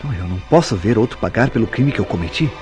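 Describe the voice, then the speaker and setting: A man performs a jealous, remorseful Brazilian man in a radio melodrama. His voice sits fairly low, his speech is formal and haltingly anguished, and music plays faintly behind him. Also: animated, a little mellow, lightly acted. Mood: agitated, remorseful. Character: guilt-ridden, jealous husband-lover who confessed to murder. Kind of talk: melodramatic radio soap acting.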